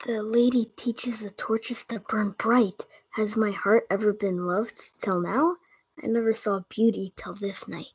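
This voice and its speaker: A woman's voice: mid-range voice, steady tone, unhurried, slightly rough, no room sound. Student reader's theatre: lovestruck, yearning young man, played by a female performer. Earnest, dry lo-fi sound. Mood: smitten. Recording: dull lo-fi audio.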